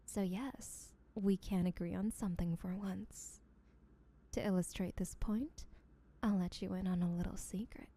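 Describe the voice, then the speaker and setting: A woman's voice: medium pitch, fairly even intonation, measured pace, warm tone. A woman playing an obsessive, boastful yandere sibling in a comedic audio roleplay, rambling in a smug, teasing, sweetly menacing way.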